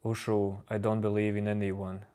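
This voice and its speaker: A man whose first language is Latvian, calmly answering viewers' chat questions on a live stream. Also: on the low side. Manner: calm, unhurried replies to chat. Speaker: livestream host answering chat.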